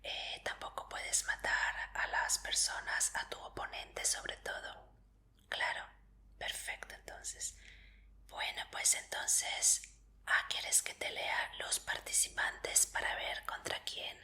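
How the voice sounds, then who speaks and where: Slow whispering woman, bright and breathy. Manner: gentle, reassuring, unhurried. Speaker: ASMR roleplayer playing a martial arts tournament examiner. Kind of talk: whispered ASMR roleplay.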